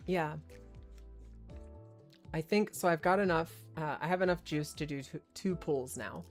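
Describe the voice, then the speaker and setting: A male streamer with a hoarse, tired voice, rambling and thinking aloud as he chats casually while playing a game. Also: on the high side, a little slow, soft background music. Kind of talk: casual gameplay commentary.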